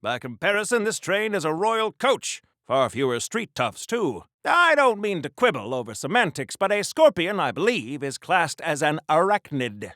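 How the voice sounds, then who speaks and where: A man's voice: a little high, sing-song, normal speed, few pauses, a bit bright, no room sound, studio quality. A man voicing a pompous, oblivious 1940s-style superhero in a video game, with theatrical, formal and fussy earnest delivery. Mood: huffy, pedantic. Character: pompous, oblivious, old-fashioned 1940s Golden Age superhero. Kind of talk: 1940s radio-serial style game voice acting.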